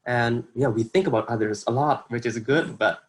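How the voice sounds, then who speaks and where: A man's voice: medium pitch, normal speed, slight background noise. A young man from Indonesia with an Indonesian accent, giving an informal workshop talk over a video call in earnest, hesitant phrasing. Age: young adult. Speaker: workshop presenter.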